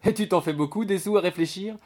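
A man's voice: mid-range voice, brisk, darkish tone, voice pushed up, close to an everyday voice. A man voicing a gossipy, earthy, blunt servant woman from the country, with slangy grammar, in a novel reading. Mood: mocking.

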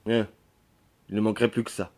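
A man's voice: fairly low pitch, flat delivery, brisk, mellow, close to an everyday voice. Man reading a sullen, penniless young lodger. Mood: irritated.

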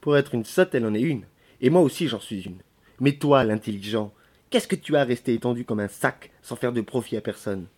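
A man's voice: medium pitch, fairly quick, darkish tone, lightly acted. A man voicing a chatty, blunt, nagging country servant woman from a novel. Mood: scolding.

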